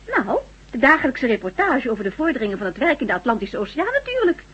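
Soothing housewife, radio drama, very husky, lilting, slightly high, gentle, coaxing, muffled vintage recording. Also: female voice, very animated, fast, full character voice. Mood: gentle, cheery. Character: caring, watchful, soothing woman at home.

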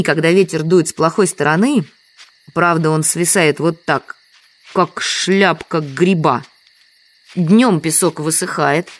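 A woman's voice: fairly low pitch, close to an everyday voice. An actress playing a humble, trusting village woman in an audio drama, explaining things simply.

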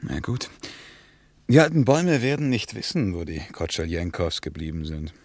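Really deep dry male voice, an understated traveller telling his trip, radio play style. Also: sing-song, normal speed, no room sound. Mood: sober. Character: observant male traveller recounting a journey from a diary. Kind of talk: scripted radio play narration.

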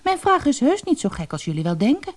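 A woman's voice: sits high, sing-song, quick pace, voice pushed up. A woman voicing a determined little girl in a fairy tale, speaking in an earnest, insistent and eager manner. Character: determined, earnest little girl seeking her seven brothers.

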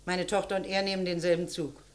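Echo-laden, stern middle-aged housewife played by an actress. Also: female voice, on the low side, level tone, fast, distant mic, natural voice. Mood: curt, wary. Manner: curt, insistent, reproving. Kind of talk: 1950s-80s German radio crime drama acting. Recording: very echoey, reverberant old radio play.

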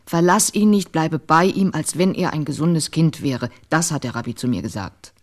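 An actress playing an anxious, stubborn middle-aged mother and wife in a radio play, earnest and insistent, with a husky voice. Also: low voice, normal speed. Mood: pleading, reproachful. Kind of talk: literary radio play acting.

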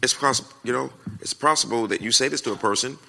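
An American man preaching and answering questions in a naturally loud voice, with a folksy, emphatic way of talking that repeats phrases for effect. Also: mid-range voice, fast, vocal fry, low-quality recording. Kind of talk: conversational sermon with question and answer.

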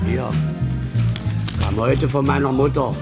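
An actor playing a subdued circus performer in a radio drama, speaking colloquially. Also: male voice, medium pitch, takes it slowly, creaky, over loud music. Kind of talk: 1980s radio drama dialogue.

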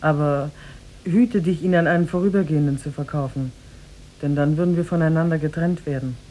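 A woman's voice: deep voice, a little fast, warm tone. An actress playing a devoted, shrewd young slave woman in a 1950s German radio drama, pleading tenderly and theatrically. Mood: anxious, warning. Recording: noisy 1950s radio recording.

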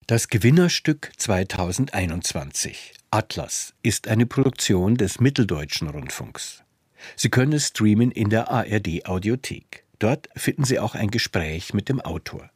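A man's voice: on the low side, very animated, normal speed, darkish tone, slightly rough, no room sound, studio quality. A man presenting radio plays in scripted narration.

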